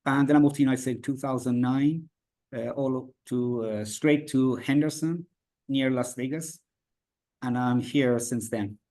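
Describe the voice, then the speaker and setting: Man from Iran, native Farsi speaker, halting non-native English, mid-pitched voice, interview guest on a video call. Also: fairly even intonation, mellow. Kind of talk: interview answers.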